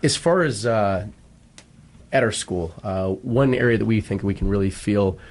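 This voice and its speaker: A man speaking as a school football coach in a radio interview, earnest and halting as he explains. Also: on the low side, darkish tone.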